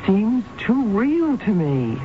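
A woman's voice: medium pitch, big pitch swings, slow pace. Through a dull, noisy old radio recording comes a middle-aged housewife, troubled and stubborn. Her intonation swings widely as she defends herself in 1970s radio drama style, hesitant one moment and insistent the next. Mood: defensive.

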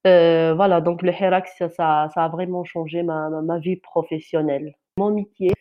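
A woman journalist from Algeria whose first language is Kabyle, speaking in an interview in an earnest, halting way with many restarts and fillers. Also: fairly low pitch, steady tone, lo-fi sound. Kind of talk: interview answer, personal account.

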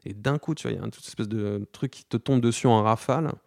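A man in his thirties speaking candidly in an interview, slangy and halting, with many restarts and fillers. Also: lowish voice, gravelly, no room sound, studio quality. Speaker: guest.

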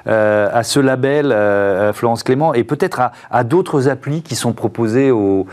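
A man's voice: mid-range voice, measured pace, darkish tone. A man hosting a broadcast in a conversational interviewing style. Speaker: TV show host and interviewer.

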